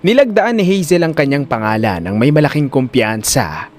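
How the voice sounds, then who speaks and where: Expressive male story narrator. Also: medium pitch, moderate pace. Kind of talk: dramatic scripted story narration. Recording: music under the voice.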